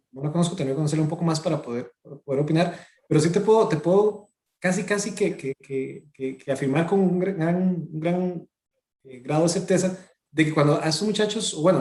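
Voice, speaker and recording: A man answering audience questions on a video call, fast and hesitant, often repeating words. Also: a little high, darkish tone, reverberant. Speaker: webinar speaker.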